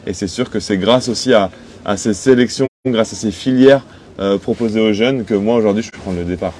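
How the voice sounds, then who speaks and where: A young man gives conversational interview answers. He is a skipper speaking aboard his boat, with clear ambient noise behind him. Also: lowish voice, brisk, darkish tone. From Cholet, western France. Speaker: sailing skipper being interviewed. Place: aboard a sailing boat in port. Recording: noticeable background noise on location.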